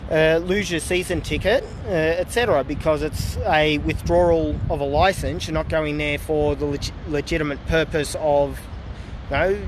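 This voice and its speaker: A man with a slightly high voice rambles through a casual outdoor livestream, full of uhs and side remarks. He says he is losing his voice. Faint street traffic hums behind his phone recording. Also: steady tone, normal speed. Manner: rambling, many fillers and asides. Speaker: political vlogger. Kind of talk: casual livestream talk to viewers. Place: outdoors in a public town area.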